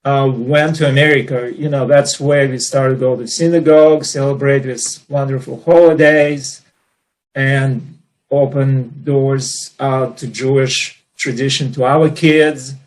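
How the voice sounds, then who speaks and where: A man's voice: medium pitch, steady tone, a little slow, poor audio. A man, from the former Soviet Union, speaking earnestly as a panelist about his own life. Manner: warm and earnest, with many "you know" fillers. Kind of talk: personal storytelling in a panel discussion.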